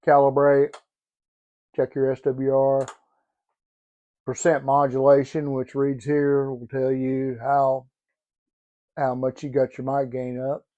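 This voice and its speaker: A man presenting an informal product demonstration in a halting, matter-of-fact way. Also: mid-range voice, warm tone, highs cut off. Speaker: presenter showing a used CB radio.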